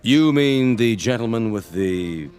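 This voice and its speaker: An obsequious waiter in a radio drama. His low voice moves expressively through formal, servile courtesies. Light music sits behind it. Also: expressive, unhurried, a little mellow. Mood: politely servile. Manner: formal, smooth-mannered, servile.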